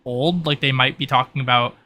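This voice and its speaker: A man's voice: medium pitch, fairly even intonation, brisk, pushed and bright, a little hiss or hum. A man acting as game master, explaining options in a hesitant, improvised way over an online voice call. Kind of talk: improvised narration of an online tabletop roleplay.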